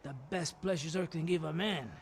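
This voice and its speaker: An actor playing a smarmy, menacing Mexican army captain in a video game, with a Mexican accent and an oily, mocking manner. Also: male voice, a little high, normal speed, very noisy, close to an everyday voice. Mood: jovial. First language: Spanish. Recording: music behind the voice.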